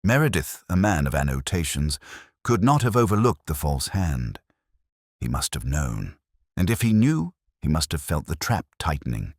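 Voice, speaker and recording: Very deep-voiced man narrating a solemn mystery. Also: big pitch swings, dry recording, clean studio sound. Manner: measured, literary, solemn delivery. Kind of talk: scripted first-person detective story narration.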